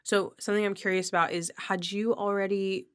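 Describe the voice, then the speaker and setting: A woman's voice: mid-range voice, level tone, fairly quick, clean tone. A woman podcast host in a casual, conversational interview.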